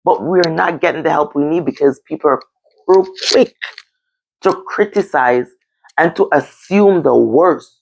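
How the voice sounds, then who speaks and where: A woman's voice: low-pitched, measured pace, gravelly, a little mellow, lo-fi sound. A woman with a Jamaican accent in a candid, casual discussion, blunt and emphatic, repeating phrases for stress. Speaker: discussion show host.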